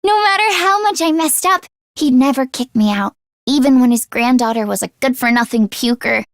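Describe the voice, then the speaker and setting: High pitched fretful teen girl for a video game, sweet n easily flustered, dry close sound. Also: moderate pace, dry recording. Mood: fond, nostalgic. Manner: expressive, fretful, earnest. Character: anxious teenage girl mechanic, self-deprecating, sweet, easily flustered. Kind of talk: video game character voice acting.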